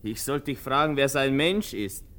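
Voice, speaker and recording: A man's voice: medium pitch, expressive, moderate pace, a bit bright, lightly acted. A man playing a blunt, hearty soldier comrade in a radio drama. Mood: blunt, loud.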